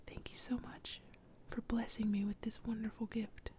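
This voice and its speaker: A woman's voice: fairly high pitch, little pitch movement, normal speed, dark tone, lightly acted. A woman voicing a young village boy who thanks a spirit in a raised, eager whisper. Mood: grateful.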